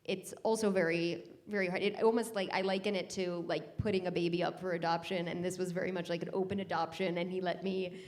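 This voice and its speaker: Lowish woman, gushing panel guest. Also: little pitch movement, a little fast, few pauses, darkish tone. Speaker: actress and filmmaker. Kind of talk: casual panel chat. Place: festival cafe stage.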